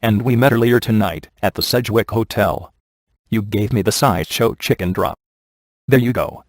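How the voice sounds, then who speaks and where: A man playing a wisecracking, sardonic ghost hunter in a video game, with a deadpan, offhand delivery. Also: fairly low pitch, normal speed, no echo, studio quality, natural voice. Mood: smug. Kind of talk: video game voice acting, comic one-liners.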